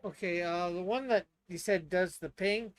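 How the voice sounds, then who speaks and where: Higher-pitched man, hesitant tabletop player. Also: normal speed. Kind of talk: casual chat, thinking aloud.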